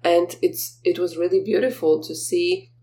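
A woman's voice: lowish voice, level tone, moderate pace, dark tone. A woman hosting a reflective, casual interview, speaking in hesitant, loosely joined phrases. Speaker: interview host. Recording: faint background noise.

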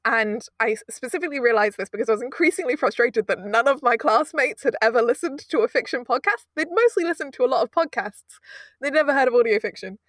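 A young woman from Britain, an audio drama producer telling stories in a casual interview, animated and self-mocking with frequent laughs. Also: a little high, fast talker, no room sound, clean studio sound.

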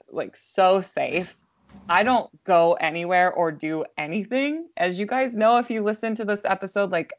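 A hoarse woman co-hosting a podcast, chatting casually with lots of "like" and anxious rambling. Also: mid-range voice, lo-fi sound. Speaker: podcast co-host. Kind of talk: casual chat.

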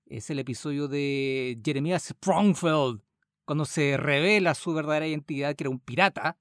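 A man's voice: on the high side, normal speed, a bit bright, dry recording, clean studio sound. A man with a Chilean accent co-hosting a podcast in casual, conversational talk. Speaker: podcast co-host. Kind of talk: casual chat.

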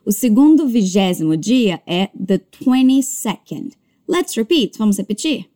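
A woman teaching English as a language lesson, modeling words slowly and carefully before brisk explanations. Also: medium pitch, sing-song, dark tone. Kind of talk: slow drilled pronunciation lesson.

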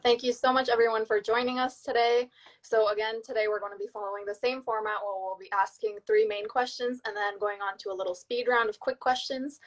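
A woman's voice: mid-range voice, a bit bright. Cheerful young female co-host. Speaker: podcast co-host, dental student. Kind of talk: casual podcast hosting.

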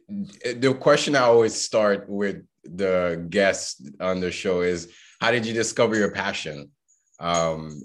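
A man hosting an interview podcast, earnestly introducing the show and his guest. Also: low voice.

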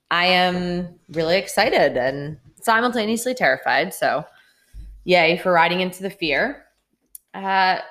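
A woman in her late twenties hosts a podcast alone. Her voice sits low, and she speaks earnestly and openly, sometimes restarting a phrase. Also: fairly bright tone. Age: 20s. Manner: candid, earnest, with some restarts. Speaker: podcast host. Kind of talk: solo podcast monologue.

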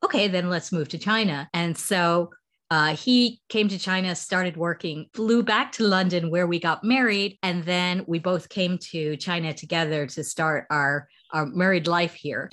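Middle-aged American businesswoman, lower register, measured, self-correcting interview guest. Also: a bit bright. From United States. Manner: thoughtful, with many ums and restarts. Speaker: startup founder, guest. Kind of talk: conversational interview answers.

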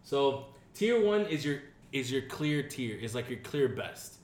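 A man's voice: mid-range voice, big pitch swings, measured pace, darkish tone. Joking man co-hosting a podcast, echoey room. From Dominican family. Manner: swearing, joking, rambling with fillers. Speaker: podcast co-host. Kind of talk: casual chat. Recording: echoey room with faint hiss.

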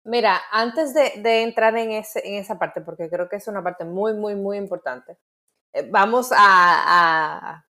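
A woman's voice: medium pitch, a little slow, bright, forceful tone. Playful Dominican woman, casual chat. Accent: Dominican Spanish. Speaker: podcast co-host.

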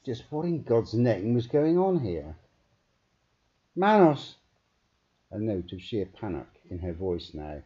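A man reading a story aloud as a dramatic, deliberate audiobook narration, on a muffled recording. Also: fairly low pitch, expressive, mellow, slightly rough. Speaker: author reading own story. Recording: dull, muffled narrow-band recording.

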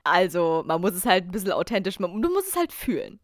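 A young German woman hosting a podcast, telling a travel story in a chatty, animated, self-mocking way. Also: lowish voice, fast talker, no echo, clean studio sound. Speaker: podcast host. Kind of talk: casual storytelling chat.

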